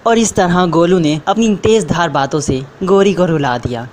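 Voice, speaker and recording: A woman's voice: on the low side, normal speed, a little mellow. Woman narrating, faint noise behind. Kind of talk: scripted children's story narration.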